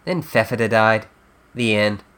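A man's voice: fairly low pitch, a little slow, fairly bright tone, clear, resonant tone. Man voicing a flippant, chatty teenage girl. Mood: breezy, flippant. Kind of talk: character dub reading of a webcomic.

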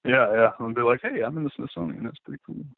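A man's voice: mid-range voice, fast talker, vocal fry, no echo. A man, a guest artist, talking casually and rambling with many fillers and restarts. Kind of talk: casual interview talk. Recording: tinny phone-like line.

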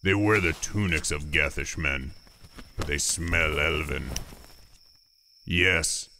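An actor playing a gruff, weary orc warrior in a fantasy audio drama, terse and dry with a gravelly, unhurried delivery. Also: male voice, a bass voice, pushed and bright, a little hiss or hum, heavily acted. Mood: calm, dry.

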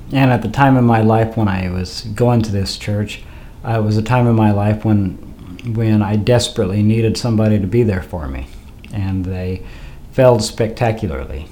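Man, low mellow voice, hoarse from a cold, hesitant with fillers, faint wind noise behind. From Idaho, USA. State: has a cold, losing his voice. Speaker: podcast host. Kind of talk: casual monologue.

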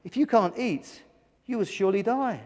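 A man voicing a reasonable, earnest gull in a children's story, gently scolding a friend. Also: high-pitched, moderate pace, in a large hall, pitched above the performer's own voice, close to an everyday voice. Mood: earnest persuasion.

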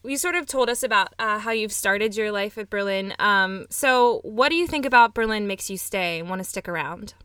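Bright woman hosting, dry close sound. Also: medium pitch, moderate pace, hardly any pauses, smooth, dry recording. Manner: enthusiastic, encouraging. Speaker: interview host, music teacher. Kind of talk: casual interview chat.

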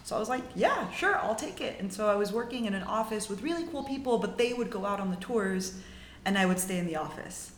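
A woman's voice: medium pitch, lively intonation, a little fast, roomy sound, a little hiss or hum. A young woman in her twenties from Mexico, telling her story as a podcast guest in a chatty, upbeat way full of "like"s and restarts. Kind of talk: casual storytelling.

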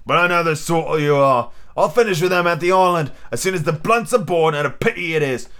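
A cunning old-salt pirate cook acted by a man reading a storybook, pitched fairly high. He boasts and bosses his mates in theatrical, emphatic sailor talk. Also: brisk, fairly bright tone, smooth. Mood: scornful, commanding. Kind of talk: audiobook character acting.

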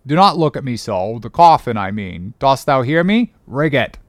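A man's voice: medium pitch, sing-song, moderate pace, no room sound, clean studio sound, lightly acted. A man voicing a stern, troubled ship's first mate who gives clipped, commanding orders in an audiobook story. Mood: stern, uneasy.

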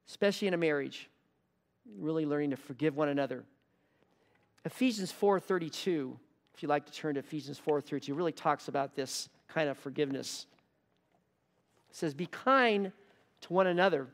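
A man's voice: on the high side, big-room sound. A man preaching a sermon as pastor, earnest, repeating key words for emphasis. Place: church hall.

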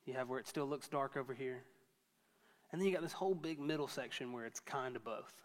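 A man's voice: mid-range voice, a little fast, long reverb tail. A man in his thirties preaching a sermon to a church, conversational and storytelling with self-deprecating humor. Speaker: pastor.

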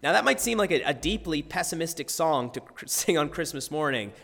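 A man preaching a sermon as pastor, his voice husky and hoarse today, talking in a conversational way with self-deprecating humor. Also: fairly high pitch, animated, brisk, fairly bright tone. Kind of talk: sermon with scripture reading and prayer. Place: church sanctuary. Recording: long church hall reverb.

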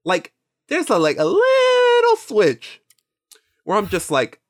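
A man's voice: sits high, wildly swooping pitch, slow. A man in his twenties whose first language is Spanish, chatting casually on a podcast, rambling and swearing freely as he quotes his own thoughts. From Mexican and Nicaraguan heritage. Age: 20s. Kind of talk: casual chat.

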